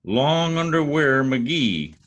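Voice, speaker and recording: An actor playing a boastful, wisecracking husband in an old-time radio comedy, folksy and theatrical with a husky voice. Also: male voice, medium pitch, sing-song, slow pace, a bit bright. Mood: grandly boastful. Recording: home video-call recording.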